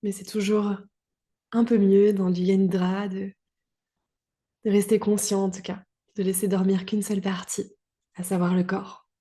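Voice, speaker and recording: A woman guiding a relaxation meditation as a yoga teacher, calm and soothing, in short phrases with long pauses. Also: mid-range voice. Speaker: yoga nidra guide.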